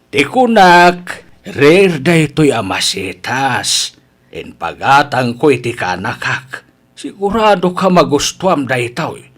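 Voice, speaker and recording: A man acting as an eager, lovestruck older uncle in a comedy radio drama. He is husky and unhurried, with pitch that swings widely. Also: very animated, fairly bright tone, heavily acted. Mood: eager, wooing. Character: eager, smitten older uncle courting with potted plants.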